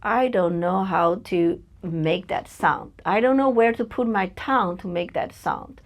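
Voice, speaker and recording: A woman with a Chinese accent, a native Chinese speaker, talks slowly as a podcast guest in a personal interview. She pauses and hunts for words with many fillers. Her voice carries a gravelly fry. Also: on the low side. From China.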